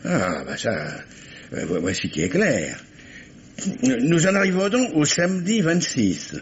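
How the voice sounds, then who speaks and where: An actor playing an insistent, sardonic police commissioner who questions methodically, with stammered repetitions, in the style of a 1950s French radio crime drama. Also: male voice, fairly high pitch, sing-song, a little slow. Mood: courteous, insistent. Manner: probing, stammering repetitions, ironic. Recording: muffled, noisy old radio recording.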